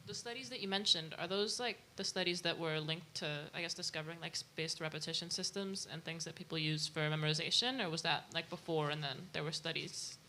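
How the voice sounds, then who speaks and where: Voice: mid-range voice, a little fast, hardly any pauses, sharp tone, a little hiss or hum. An audience member thinking aloud in a rambling way, full of "like" and half-finished thoughts.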